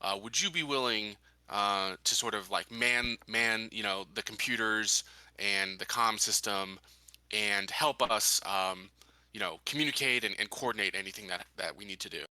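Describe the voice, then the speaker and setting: A man playing a tabletop roleplaying game, improvising his character's words in a halting, tentative way. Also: on the low side, bright, forceful tone, dry recording.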